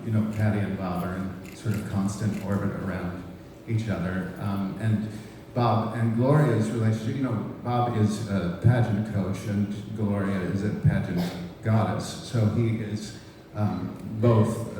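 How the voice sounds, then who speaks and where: A man's voice: lowish voice, dark tone, slight background noise. A man answering at a press conference, hesitant and full of ums and restarts. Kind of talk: panel answers at a press event. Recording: echoey hall, voice from far off.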